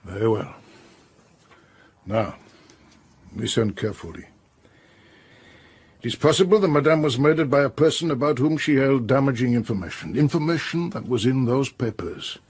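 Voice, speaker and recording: An actor playing a suave, probing police investigator in a radio drama, husky and deliberate. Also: male voice, medium pitch, animated. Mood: grave, coaxing.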